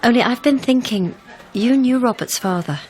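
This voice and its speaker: An actress playing a caring but sharp-tongued young fiancée in a naturalistic radio drama, pointed and persuasive. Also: female voice, on the high side, moderate pace, lightly acted. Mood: earnest, probing.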